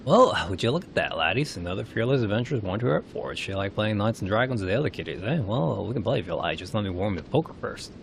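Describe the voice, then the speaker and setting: A man voicing a gruff, taunting smith with a mocking, folksy dialect in a fantasy game story. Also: on the low side, lively intonation, fast, few pauses, slight background noise. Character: gruff taunting smith sneering at would-be adventurers.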